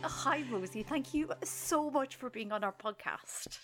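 Irish woman gabbing on a podcast, bit high pitched, laughs at herself. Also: lively intonation, loud music behind the voice. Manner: chatty, self-mocking, quick asides. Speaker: podcast co-host. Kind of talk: casual chat.

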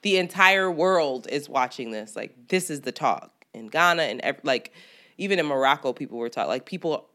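A woman's voice: sits low, lively intonation, moderate pace, fairly bright tone. A woman co-hosting a podcast, chatting casually, swearing freely and acting out quotes. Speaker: podcast co-host. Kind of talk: casual chat.

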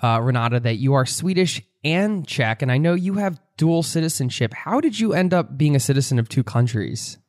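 A man's voice: mid-range voice, lively intonation, normal speed, hardly any pauses, a little mellow, dry recording, clean studio sound. A man hosting a podcast, asking friendly, rambling questions and chuckling often. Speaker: podcast host. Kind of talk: casual interview talk.

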